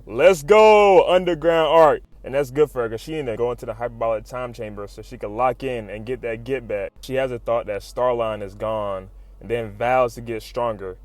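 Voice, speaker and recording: A man giving a casual, excited recap as a video host, full of slang, swearing and animated exclamations. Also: medium pitch, normal speed, few pauses. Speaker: YouTube host recapping comics.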